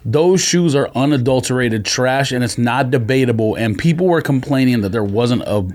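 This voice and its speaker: American man, mid-pitched, casual and blunt. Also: normal speed, a little mellow. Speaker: podcast co-host. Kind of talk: casual opinionated chat.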